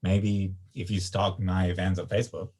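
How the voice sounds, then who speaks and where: A man's voice: deep voice, level tone, moderate pace, a little mellow. A man playing an easygoing, teasing young man at a comedy screenplay read, laid-back in manner. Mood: relaxed, teasing.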